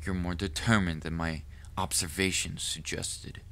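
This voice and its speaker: A man playing a proud, cunning, lovestruck assassin in an audio roleplay, speaking formally and deliberately to the listener with quiet menace. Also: sits low, level tone, exaggerated voice. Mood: rueful, intrigued. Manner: formal wording, deliberate, measured. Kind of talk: scripted audio roleplay monologue addressed to the listener.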